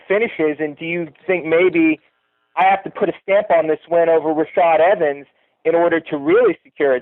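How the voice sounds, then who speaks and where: A man's voice: high voice, dry recording, narrow, tinny audio. A man asks long-winded reporter's questions over a telephone conference line, full of asides. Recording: thin phone conference line.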